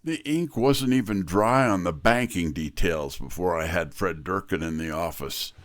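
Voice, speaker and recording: A man's voice: low-pitched, normal speed, natural voice. A man playing a wry, businesslike detective's assistant, narrating and talking in hard-boiled style for a detective drama. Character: brisk, wry male detective's right-hand man. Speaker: narrator and character actor.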